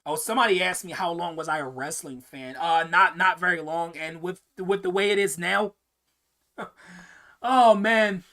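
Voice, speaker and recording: A man's voice: high voice, unhurried, bright, forceful tone. A young man hosting a casual live-stream Q&A, answering viewer questions in a rambling way, often repeating words and restarting sentences.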